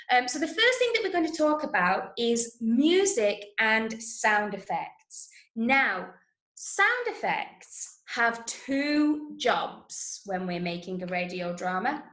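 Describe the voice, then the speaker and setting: High-pitched English woman teaching, unhurried. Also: big pitch swings, fairly bright tone, reverberant. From Manchester, England. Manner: clear, instructive, emphatic, checking understanding often. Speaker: drama workshop teacher. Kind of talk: online lesson to school pupils. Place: indoors, online video call.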